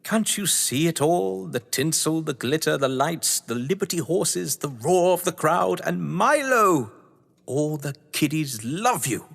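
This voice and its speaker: An actor playing a whimsical, scheming crime-novelist lord of the manor, with a British accent and a pompous, florid delivery, in a stage-thriller audio drama. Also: male voice, on the high side, lively intonation, measured pace, vocal fry, fairly bright tone, heavily acted. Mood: coaxing, amused. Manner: florid, pompous, playfully grandiose. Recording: long hall-like reverb.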